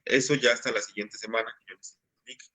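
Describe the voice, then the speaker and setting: A man's voice: medium pitch, fairly even intonation, fast, bright, forceful tone, low-quality recording. A man, patiently explaining assignments to his class over a video call. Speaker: teacher. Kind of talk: online class instruction.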